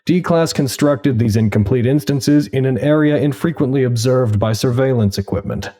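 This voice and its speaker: A man's voice: mid-range voice, normal speed, mellow, lightly acted. An actor in an audio drama playing a wry male researcher. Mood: formal, clipped.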